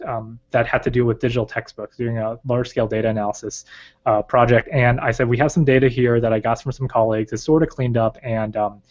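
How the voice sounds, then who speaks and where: Man, interview guest, from china, chinese first language, rambling with fillers, mid pitch, slightly muffled lo-fi audio. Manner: thoughtful, rambling, many fillers. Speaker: guest, research advisor. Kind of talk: casual interview talk. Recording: slightly dull lo-fi recording.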